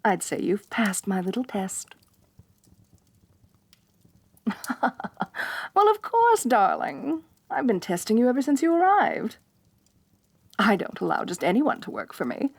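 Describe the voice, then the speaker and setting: A woman's voice: fairly high pitch, huge pitch swings, a little slow, gravelly, breathy edge, no echo, heavily acted. A woman playing a haughty, domineering rich lamia mistress in an ASMR roleplay, talking down to her servant. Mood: amused, approving. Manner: haughty, domineering, talks down to the listener. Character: haughty rich female lamia, bossy mistress, slightly tsundere.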